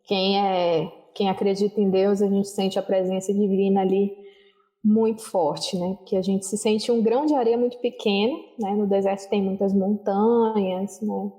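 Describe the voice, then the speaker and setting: A young adult woman, a guest in a casual interview, telling travel stories in a relaxed, rambling way with frequent tag questions. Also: medium pitch, moderate pace. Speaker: guest, social worker.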